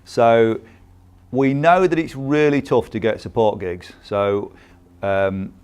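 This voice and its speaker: A man with a smooth, clear voice presenting a promotional music video series in a conversational way. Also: medium pitch, steady tone, slow pace, darkish tone.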